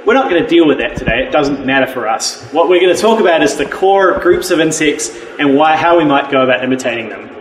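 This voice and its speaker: A man's voice: a little high, lively intonation, quick pace, few pauses, a little hiss or hum. A man with a New Zealand accent, a university professor giving an enthusiastic, casual workshop talk to a live audience, with frequent ums and restarts. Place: meeting room with a live audience. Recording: echoey room with faint music.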